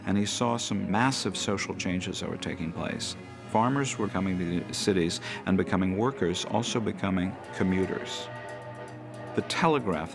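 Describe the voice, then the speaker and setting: A very deep-voiced man with heavy creak. He tells history in a deliberate, explanatory interview style over clearly audible music. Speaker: interviewed commentator on newspaper history. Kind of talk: storytelling documentary interview.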